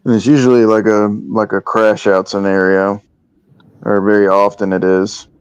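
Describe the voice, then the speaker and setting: A man's voice: lowish voice, flat delivery, normal speed, smooth, no echo. A man chatting casually as a livestream panelist, with dry, slightly dull sound. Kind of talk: casual chat.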